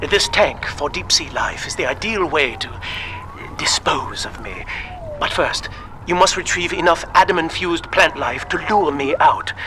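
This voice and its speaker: Game role: refined male scientist, higher-pitched, formal, polite, weary and fatalistic; noisy ambience. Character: doomed male scientist, refined, weary, courteous, resigned to death. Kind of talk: recorded message in a video game.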